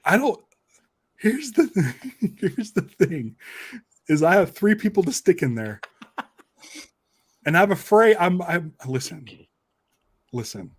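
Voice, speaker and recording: A man's voice: high voice, very animated, slow, pauses often, slightly rough, dry recording. A man hosting a casual podcast, talking in a rambling way and often repeating and restarting his phrases. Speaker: podcast host and documentary maker.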